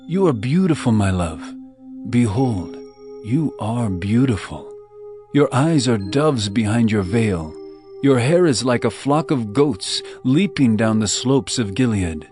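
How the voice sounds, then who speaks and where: An actor playing an adoring royal bridegroom in a dramatized scripture reading, with a measured, tender and lyrical delivery. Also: male voice, mid-range voice, close to an everyday voice.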